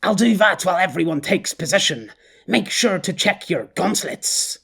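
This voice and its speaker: A man voicing a blustering, boastful petty male gang boss who rants and bosses his crew around, with a husky voice. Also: high voice, animated, normal speed, fairly bright tone, pitched above the performer's own voice, heavily acted. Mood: bossy. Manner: boastful, bossy, rough-talking.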